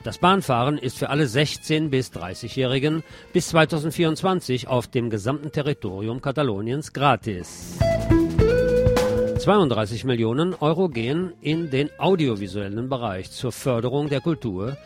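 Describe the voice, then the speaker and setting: A man's voice: mid-range voice, animated, moderate pace. A man hosting a radio news segment, reading scripted reports and dropping in sardonic, informal asides. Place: radio programme. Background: guitar music.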